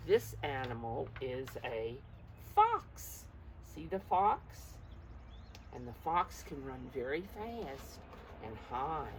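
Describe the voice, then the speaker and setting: A woman's voice: a bass voice, big pitch swings, slow. A woman teacher reading a picture book aloud to preschoolers, encouraging them with simple explanations and questions, emphasising key words, in a slightly noisy recording. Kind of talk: interactive picture-book read-aloud for young children.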